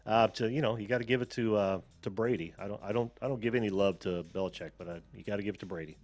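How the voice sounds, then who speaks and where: A man's voice: fairly low pitch, quick pace, a little mellow, faint music behind. An American man hosting a call-in show over a video call, chatting casually, often laughing, trailing off and restarting.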